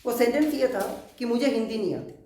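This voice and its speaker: A man's voice: very high-pitched, dark tone. Man teaching, echoey room. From Kerala, India. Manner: slow, deliberate explaining with many English words. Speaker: English teacher. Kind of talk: spoken English grammar lesson.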